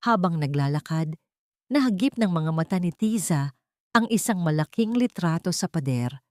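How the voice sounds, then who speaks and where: A woman narrator reads a scripted drama. Her voice sits a little low and moves expressively through each sentence. The recording is close and dry. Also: lively intonation, normal speed, dry recording.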